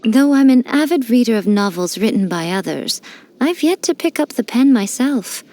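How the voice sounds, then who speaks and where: A woman's voice: a little high, lively intonation, normal speed, dark tone, lightly acted. A woman voicing a sly teasing fox priestess. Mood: playful. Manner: playful, teasing, amused. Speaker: game character. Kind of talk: studio game voice lines.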